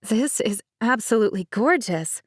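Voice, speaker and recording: A woman's voice: on the high side, animated, moderate pace, no echo, studio quality, voice pushed up. A woman voicing a direct, earnest young wedding planner who was once an agent.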